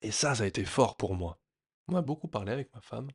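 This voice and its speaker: A man's voice: mid-range voice, animated, breathy edge, no room sound. Earnest man telling his story, dry sound. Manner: earnest, reflective, halting with many restarts. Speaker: vlogger. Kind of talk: personal storytelling to camera.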